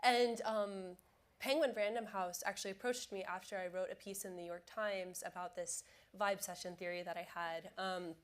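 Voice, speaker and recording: A woman's voice: medium pitch, fairly even intonation, moderate pace. A woman who is a bit sick and sounds nasal, speaking as an author on a conference panel in an upbeat, chatty way. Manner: upbeat, chatty, laughs easily.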